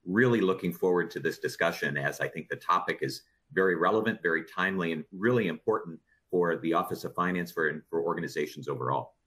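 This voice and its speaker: A man with a Chicago accent, an experienced consultant, introducing himself on a webinar panel in a measured, businesslike way. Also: sits low, fairly bright tone, husky.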